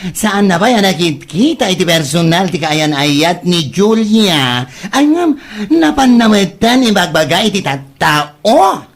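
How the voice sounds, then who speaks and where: A husky young Ilocano best friend voiced by a woman, gossipy and theatrical. She drawls slowly, with exclamations that swoop up and down in comedy-drama fashion. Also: on the low side, big pitch swings, exaggerated voice.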